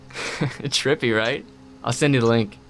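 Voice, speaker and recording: A man's voice: medium pitch, slow. Man playing a laid-back stoner roommate, faint noise behind. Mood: amused, stoned. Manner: slangy, laid-back, rambling. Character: stoner college roommate, enthusiastic about creepy internet lore. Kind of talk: horror audio drama dialogue.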